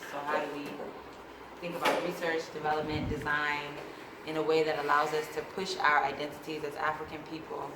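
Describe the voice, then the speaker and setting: Low, flat-voiced woman panelist. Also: fairly quick. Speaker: panel speaker. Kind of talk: speaking at a panel. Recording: echoey room with noise.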